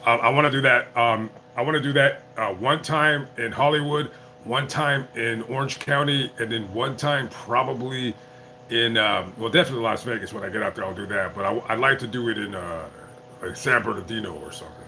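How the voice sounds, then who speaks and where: A tipsy man live-streaming as a YouTuber, chatting casually in a rambling, halting way full of restarts. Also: mid-range voice, pushed and bright, slight background noise. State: tipsy from drinking. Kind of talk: casual livestream chat.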